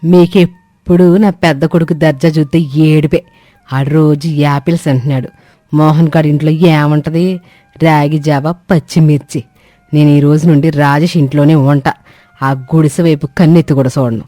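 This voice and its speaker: A woman's voice: sits low, fairly even intonation, moderate pace, dark tone, lightly acted. A woman voicing a bossy, money-proud older village mother-in-law in a story, with a scolding, boastful, colloquial way of talking. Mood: indignant. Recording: echoey sound with music bed.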